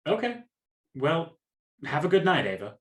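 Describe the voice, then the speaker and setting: A man's voice: fairly high pitch, very animated, normal speed, fairly bright tone. A man acting in a radio play as a polite, hesitant, heartbroken customer. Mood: shyly hopeful. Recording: roomy echo on the voice.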